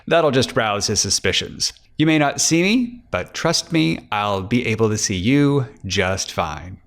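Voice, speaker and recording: A man voicing a slick, greedy, flirtatious private detective in a crime audiobook, smooth-talking and cocky. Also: lowish voice, lively intonation, unhurried, a bit bright, exaggerated voice. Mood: reassuring.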